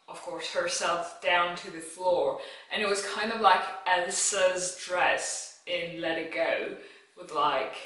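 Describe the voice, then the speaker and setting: A woman's voice: medium pitch, a bit bright. A woman vlogging alone at home, chatting casually and bluntly to camera with lots of "like" fillers. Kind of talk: casual reaction chat to camera. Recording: very echoey room, a bit far from mic.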